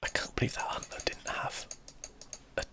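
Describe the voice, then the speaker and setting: A man's voice: moderate pace, sharp tone, soft background music. Whispering southern English man, ASMR gaming. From southern England, UK. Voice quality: breathy, unvoiced whisper. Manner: casual, chatty, musing aloud. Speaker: host of an ASMR gaming channel. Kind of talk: ASMR game commentary.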